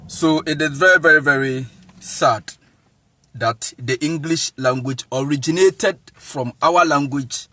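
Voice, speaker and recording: Ghanaian guy teaching online, slow and emphatic, says things in short phrases, pretty dry sound. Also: a little high, sharp tone, no echo. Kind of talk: informal lecture to viewers.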